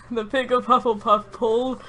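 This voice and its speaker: A woman's voice: on the high side, level tone, unhurried, bright, forceful tone, slight background noise, poor audio. Woman doing a dramatic read of silly fanfic, tries to stay serious but keeps cracking up. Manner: mock-serious storytelling, often breaking into giggles. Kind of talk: dramatic reading of absurd fan fiction.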